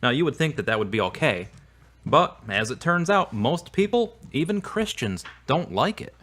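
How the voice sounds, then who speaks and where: A man's voice: fairly high pitch, big pitch swings, moderate pace, fairly bright tone. A man playing an earnest, polite religious believer in an amateur audio drama.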